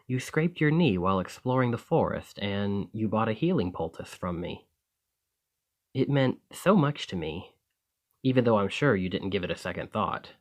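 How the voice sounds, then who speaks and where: Man acting as a friendly male alchemist, lowish voice, earnest, bashful, gushing, conversational ASMR roleplay. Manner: conversational, enthusiastic, hesitant when embarrassed.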